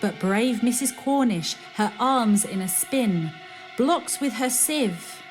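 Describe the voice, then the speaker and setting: A woman playing a chirpy, excitable character in an audio drama. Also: a little high, takes it slowly, faint music behind. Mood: dramatic.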